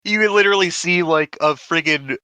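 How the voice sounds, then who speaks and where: A man's voice: a little high, brisk, pushed and bright, smooth, no echo. A man playing a tabletop game and chatting casually with the group. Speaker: player in a tabletop game podcast.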